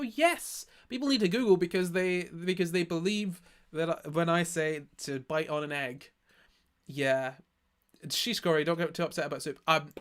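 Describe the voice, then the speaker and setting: A young man from Scotland with a Scottish accent softened by years in England, casually chatting on a live stream and reading his chat, rambling and restarting his sentences often. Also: sits high. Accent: Scottish, softened by living in England. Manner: rambling, self-correcting, lots of restarts.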